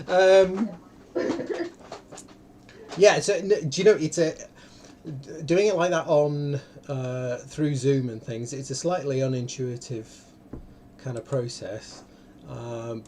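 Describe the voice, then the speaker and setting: Man, medium pitch, casual livestream host, rambling and stop-start, light noise. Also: darkish tone. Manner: rambling, halting, self-interrupting. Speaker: host of an online live event. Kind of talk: casual, unscripted livestream talk. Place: indoor room, online video call.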